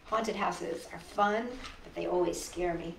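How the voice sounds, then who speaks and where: A woman's voice: on the low side, steady tone, unhurried, gravelly. A woman reading a picture book aloud as a storytime host, expressively, with sympathetic, coaxing asides to young viewers. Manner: sympathetic, coaxing, talks directly to children. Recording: echoey room, faint background noise.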